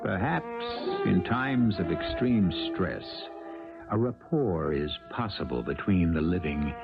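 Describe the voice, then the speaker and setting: A man hosting and narrating a 1970s radio drama in a theatrical, ominous style. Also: deep voice. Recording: muffled 1970s radio broadcast. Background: prominent music.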